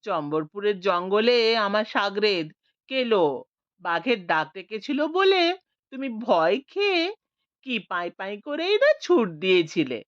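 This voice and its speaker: A woman's voice: very animated, slow pace, no echo. A woman doing a sly, mocking old rogue. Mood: teasing. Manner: low, teasing, sarcastic talk. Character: sly mocking older male ex-thief. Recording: dull lo-fi dry recording.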